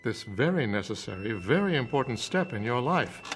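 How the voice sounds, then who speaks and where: A man playing a calm professor in a radio drama, persuasive and didactic, phrasing things deliberately. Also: mid-range voice, slight background noise, natural voice. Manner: measured, didactic, deliberate repetition. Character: calm, persuasive male professor preaching conformity.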